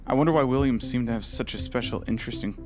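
An actor playing a troubled, earnest archivist in an audio drama, halting and unsure of himself. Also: male voice, medium pitch, over music, lightly acted. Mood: pondering. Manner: halting, self-doubting.

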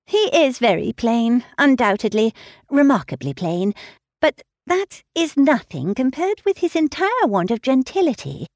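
Woman playing a condescending young lady in an audiobook, crisp and lively, dry close sound. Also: high-pitched, a little slow, no room sound, pitched above the performer's own voice, full character voice. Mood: disdainful. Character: self-assured, snobbish young gentlewoman, lecturing.

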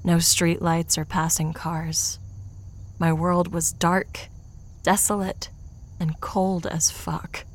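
An actress playing a beleaguered, earnest podcast investigator in a first-person audio drama. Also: female voice, lowish voice, level tone, slow pace. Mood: wry, bleak narration.

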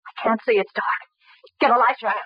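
An actress playing an anxious but determined amateur sleuth in a 1940s radio drama. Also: female voice, a little high, fairly even intonation, brisk, slightly rough, no room sound. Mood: frightened. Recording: dull, lo-fi old radio recording.

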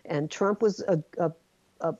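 A woman's voice: lowish voice, unhurried, a little mellow, dry recording, muffled recording. A woman speaking as a guest in a casual political discussion, earnest and given to repeating words as she thinks.

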